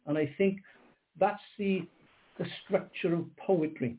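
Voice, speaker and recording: Husky older man on a phone line. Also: sits high, a little slow. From Wales. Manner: hesitant, repeats words, many ums. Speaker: guest poet. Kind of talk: radio interview. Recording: narrow phone-line sound.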